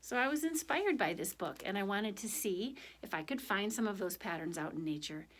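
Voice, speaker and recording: A woman reading a picture book aloud and chatting to children, speaking in an expressive, gentle and direct way. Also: mid-range voice, fairly quick, slight background noise. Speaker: children's story reader for a church. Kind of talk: picture book read aloud, then informal reflection to children.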